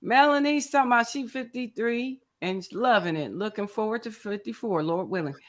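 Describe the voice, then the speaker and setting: A woman's voice: a little high, moderate pace. A woman in her fifties hosting a casual livestream, chatty and greeting viewers by name with frequent laughs. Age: 50s. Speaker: crochet channel host.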